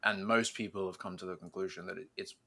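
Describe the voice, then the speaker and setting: Guy hosting a podcast, really bright punchy voice, casual excited fan chat, swears a bit. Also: low-pitched, quick pace. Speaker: podcast host.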